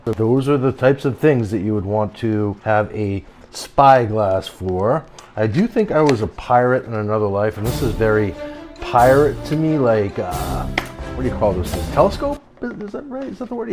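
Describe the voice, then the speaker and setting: Low-voiced man rambling through an unboxing. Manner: rambling, self-interrupting, easily excited. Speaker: product reviewer. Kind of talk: casual unboxing review. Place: home, later outdoors on a deck.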